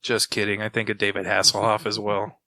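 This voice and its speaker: Male co-host, easygoing chat, bright tone, dry recording. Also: fairly low pitch, fairly quick, vocal fry, no room sound. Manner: relaxed, agreeable back-and-forth. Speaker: podcast co-host. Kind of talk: casual chat.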